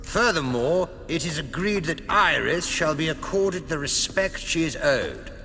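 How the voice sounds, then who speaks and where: A man's voice: a little high, animated, unhurried, sharp tone, heavily acted. An actor playing a stern, self-righteous older nobleman father, formal and pompous, in a game cutscene. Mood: stern, smug. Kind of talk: theatrical video game cutscene acting. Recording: long reverb, faint background noise.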